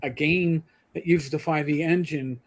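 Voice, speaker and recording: A man's voice: on the high side, fairly even intonation, a little slow. A middle-aged man co-hosting a gaming livestream, rambling with stammered restarts and the odd swear. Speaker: livestream co-host. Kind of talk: casual gaming chat.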